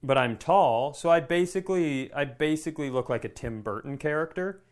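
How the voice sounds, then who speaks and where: A man who works as a comedian, co-hosting a podcast with animated, exaggerated, sweary storytelling in casual banter. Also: fairly high pitch, normal speed.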